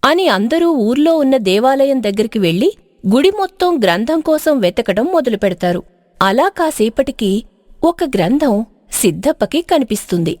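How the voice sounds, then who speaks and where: A woman's voice: high voice, very animated, moderate pace, a little mellow, no echo, clean studio sound. A woman narrating a scripted moral story in a dramatic storytelling style.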